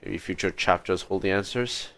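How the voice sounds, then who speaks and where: A man's voice: low-pitched, normal speed, vocal fry, fairly bright tone, slight background noise. A man livestreaming a game with casual, laid-back, musing commentary. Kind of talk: casual play-through commentary.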